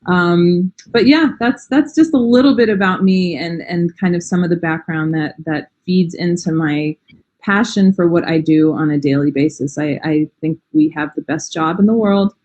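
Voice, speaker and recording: Casting: American woman in her forties, slightly low voice, chatty and enthusiastic, lots of "um" and "you know". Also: normal speed, a little mellow, highs cut off. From United States. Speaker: language teacher, interview guest. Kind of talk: casual interview chat.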